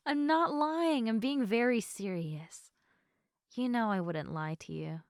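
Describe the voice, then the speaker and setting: A young woman playing a sleepy, affectionate girlfriend in an intimate sleep-aid roleplay, speaking softly and tenderly to the listener in a giggly, hesitant way. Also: on the high side, no room sound, clean studio sound. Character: sleepy, affectionate girlfriend, gentle, playful and sincere. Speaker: audio roleplay performer.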